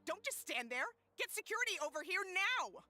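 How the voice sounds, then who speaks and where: A woman's voice: very high voice, sing-song, fairly quick, sharp tone, soft background music, heavily acted. A woman voicing a firm, formal tournament attendant in an anime-style video game. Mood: stern.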